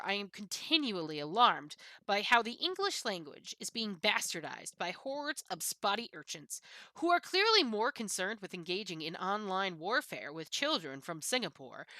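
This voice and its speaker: Haughty storybook cat, female reader, bright and forceful, dry close sound, scornful of common people, pompous delivery. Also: mid-range voice, no echo. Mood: indignant. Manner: pompous, disdainful, theatrically emphatic. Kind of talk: dramatic book reading.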